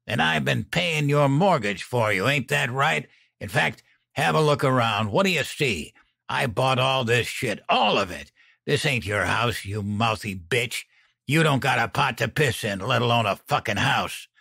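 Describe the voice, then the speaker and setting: A man voicing a violent, sneering drunk smoker with a raspy croak and coarse, slangy speech, in an audiobook. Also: mid-range voice, animated, a little slow, pushed and bright, no echo, clean studio sound, pitched above the performer's own voice, full character voice. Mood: mocking.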